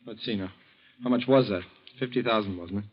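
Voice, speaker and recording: An actor playing a scheming young grown son in 1940s radio style, hesitant and falsely solicitous. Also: male voice, fairly low pitch, a little fast, husky. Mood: sly, insinuating. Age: young adult. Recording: dull vintage 1940s radio recording.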